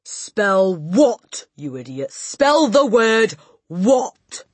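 A woman voicing a huge, tyrannical headmistress who loathes children, bellowing her insults with drawn-out emphasis in an audiobook. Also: deep voice, animated, fairly bright tone, breathy edge, dry recording, exaggerated voice. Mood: indignant, threatening. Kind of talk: audiobook character voice.

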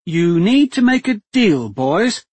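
A pushy duchess who talks her way through everything, as a man puts her on, pitched well up and bouncing all over the place. The voice is breathy and sits close and dry on the mic, as in an audiobook. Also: sing-song, slow pace, a little mellow, dry recording, full character voice. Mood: bossy. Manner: pushy, insistent. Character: portly middle-aged duchess, bossy fast-talking haggler.

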